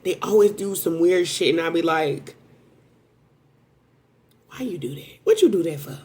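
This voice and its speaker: Rambling animated woman reading tarot. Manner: rambling, animated, with sudden high exclamations; self-described strong voice. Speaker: tarot reader. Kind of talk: casual spoken tarot reading.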